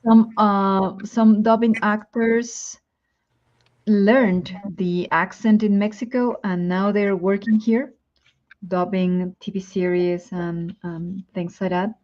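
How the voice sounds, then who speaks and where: A woman's voice: medium pitch, little pitch movement, takes it slowly, darkish tone, lo-fi sound. Chatty woman with a Spanish accent. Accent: Spanish-speaking. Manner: chatty, thinks aloud with many ums. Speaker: Spanish teacher, guest. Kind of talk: casual chat on a live stream.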